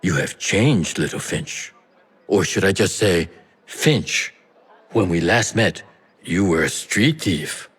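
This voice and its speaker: An actor playing a sly, theatrical merchant and smuggler with a teasing, playful manner in a video game. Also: male voice, sits low, animated, takes it slowly, fairly bright tone, husky, heavily acted. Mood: grave, wistful. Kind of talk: video game cutscene acting. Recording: music behind the voice.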